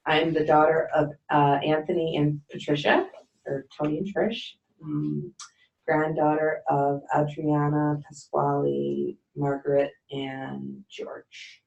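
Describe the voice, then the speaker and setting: A woman's voice: low-pitched, little pitch movement, takes it slowly, gravelly, darkish tone, dull audio. A woman from Chicago hesitantly introducing herself to a training group, with lots of ums and easy laughs. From Chicago, USA. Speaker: training participant. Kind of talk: casual self-introduction. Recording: echoey room, distant mic.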